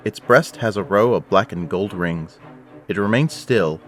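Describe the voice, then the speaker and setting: A man's voice: on the low side, measured pace, soft background music. A man delivering scripted, dramatic third-person narration for an audio drama.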